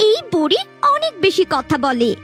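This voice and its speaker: A woman's voice: very high voice, wildly swooping pitch, normal speed, fairly bright tone, exaggerated voice. A woman voicing a spoiled, haughty little girl in a cartoon fairy tale, whiny, rude and pouting. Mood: irritated. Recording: faint background music.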